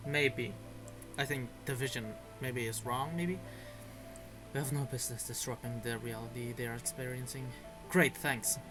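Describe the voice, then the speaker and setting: Casting: male gamer, mid-pitched, casual off-the-cuff commentary with music behind. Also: fairly even intonation, moderate pace. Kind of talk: casual live commentary over gameplay.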